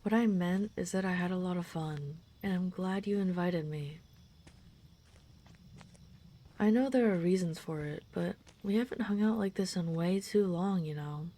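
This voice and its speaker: Mellow, gentle dragon friend played by a woman. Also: lowish voice, moderate pace, hardly any pauses, natural voice. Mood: cheerful, fond. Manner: soft-spoken, reassuring, hesitant and considerate. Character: gentle, caring female dragon friend in humanoid form. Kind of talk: roleplay audio drama, intimate comfort scene.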